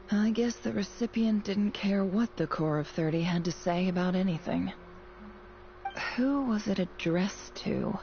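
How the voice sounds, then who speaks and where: An actress playing a guarded, wry young female student in a video game, with a measured, dry way of talking. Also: lowish voice, lo-fi sound, slight background noise.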